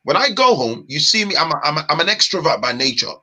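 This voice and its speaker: A man from Nigeria, a guest in a casual chat, talking in an animated, emphatic way with street slang. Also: a little high, quick pace.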